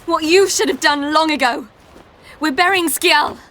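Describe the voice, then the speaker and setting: Fierce young warrior girl, higher voice, blunt and defiant, game ambience behind her. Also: a little slow, bright, forceful tone, a little hiss or hum. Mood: defiant, angry. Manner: blunt, resolute.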